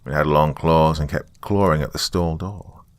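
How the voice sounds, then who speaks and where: A man's voice: very deep voice, steady tone, normal speed, no echo. A man narrating a scripted first-person horror story as a storyteller.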